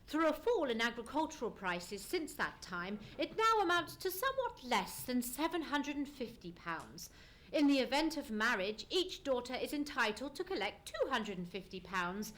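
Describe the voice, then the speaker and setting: Woman acting shrewd gentleman sleuth, high voice, very animated, precise formal diction, commanding, radio drama. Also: normal speed. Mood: brisk, serious. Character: brisk, assured, shrewd male gentleman detective. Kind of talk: live old-time radio play acting.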